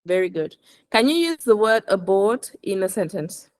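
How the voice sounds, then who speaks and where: A woman's voice: mid-range voice, big pitch swings, moderate pace, dry recording. Female language coach, mid-range voice, instructive and precise, enunciating for a learner, dry recording. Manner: instructive, correcting, enunciates words for repetition. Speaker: English teacher coaching aviation English. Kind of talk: one-to-one language lesson.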